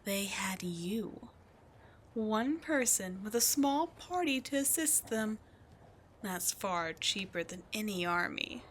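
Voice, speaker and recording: A woman's voice: medium pitch, expressive, slow. A woman voicing a scheming demon queen in an ASMR roleplay, sly and mocking, often slipping into whispers, with faint noise behind. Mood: taunting. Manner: sly, taunting, drifting into whispers. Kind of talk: ASMR roleplay audio drama.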